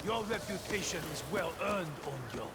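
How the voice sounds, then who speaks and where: A man playing an arrogant, power-hungry shinobi villain in a video game, with a gravelly, menacing, theatrical delivery. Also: high voice, animated, normal speed, heavy background noise. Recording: loud game music behind.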